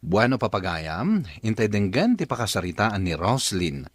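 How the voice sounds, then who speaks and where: A man's voice: on the low side, sing-song, moderate pace, no echo. A man with a Filipino accent hosting a radio drama, narrating and reading letters from a script.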